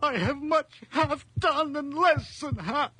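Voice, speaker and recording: A woman's voice: high voice, takes it slowly, pushed and bright, dry recording, full character voice. A woman playing a frail, despairing old person awaiting death in an old radio horror drama, plaintive and wailing.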